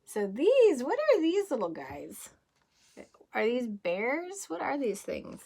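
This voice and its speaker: A woman kindergarten teacher reading a rhyming picture book aloud in a slow, sing-song way, pausing to ask the children gentle questions. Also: sits high, huge pitch swings, gravelly.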